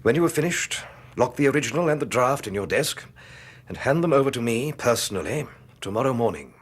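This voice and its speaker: An actor playing a formal, authoritative older British aristocrat and government minister in a radio drama. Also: male voice, mid-range voice, lively intonation, brisk, breathy edge. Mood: grave, confidential.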